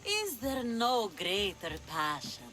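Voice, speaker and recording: A woman's voice: medium pitch, huge pitch swings, slow, bright, forceful tone, heavily acted. An actress playing a sly, imperious, treasure-loving pirate queen of mature years, with a languid, theatrical delivery in a video game cutscene. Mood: teasing, commanding. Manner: languid, commanding, teasing, theatrical.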